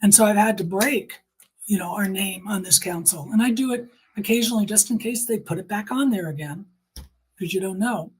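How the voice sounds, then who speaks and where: Earnest middle-aged woman, slightly husky. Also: mid-range voice, a little fast, poor audio. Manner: earnest, emphatic storytelling with acted-out quotes. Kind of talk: personal testimony in conversation.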